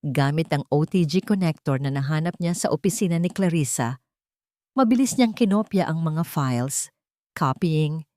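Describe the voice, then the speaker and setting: A woman's voice: low-pitched, lively intonation, moderate pace, mellow, no echo, clean studio sound. A woman narrating a scripted dramatic story as its storyteller.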